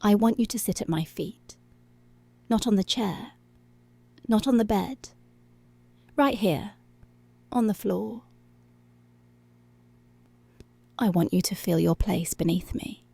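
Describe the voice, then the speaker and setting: A woman acts out a dominant policewoman in ASMR roleplay, very slow and controlling. Her voice is dark and husky, often sinking into a breathy whisper. Every command is deliberate and seductive, recorded close and intimate. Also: medium pitch, no room sound. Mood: possessive. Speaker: ASMR voice actor.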